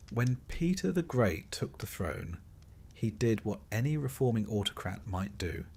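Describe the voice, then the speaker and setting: A man narrating a scripted history story in a measured storytelling way, with dry, wry understatement. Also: lowish voice, a little hiss or hum.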